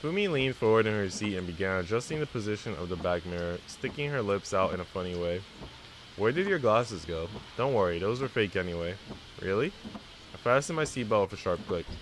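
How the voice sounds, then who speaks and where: A man's voice: on the low side, expressive, brisk, slight background noise, poor audio. A man live-streaming, reading a visual novel aloud and chatting casually with viewers in a slangy, teasing way.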